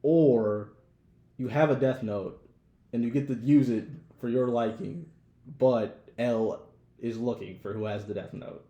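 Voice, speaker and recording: A man's voice: mid-range voice, measured pace, mellow, dull audio. A young American man chatting casually as a podcast co-host, with loose, jokey, slangy talk. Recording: roomy echo.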